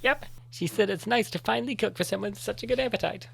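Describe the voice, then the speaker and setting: Man doing a pushy, higher-pitched girl best friend. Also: fast, creaky, fairly bright tone, husky, full character voice. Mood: friendly, pushy. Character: pushy, enabling young female best friend, cajoling and cheeky. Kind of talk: comic dub character acting.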